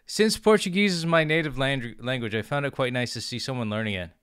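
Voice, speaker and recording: A young man chatting casually on a live stream, jokingly boastful, reading chat aloud and trying out halting beginner Portuguese in a small home room on a close studio mic. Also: medium pitch, fairly bright tone, clear, resonant tone, dry recording. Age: young adult. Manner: jokey, playfully boastful, mixes in halting learner Portuguese. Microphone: studio mic on arm with headphones.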